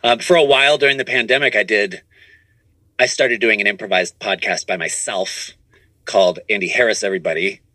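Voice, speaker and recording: A man's voice: on the low side, a little fast, sharp tone, dry recording. A man chatting casually as a podcast guest, rambling and often restarting his sentences, recorded in a home studio. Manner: rambling, self-correcting with repeated false starts. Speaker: podcast guest, improv comedian and producer. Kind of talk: casual interview chat.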